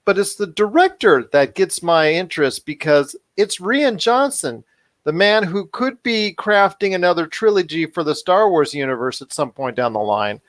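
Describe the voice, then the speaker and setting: A man's voice: high voice, expressive, normal speed, no echo, clean studio sound. A man hosting a podcast in an upbeat, chatty style, wordy and given to repeating himself. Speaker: podcast host.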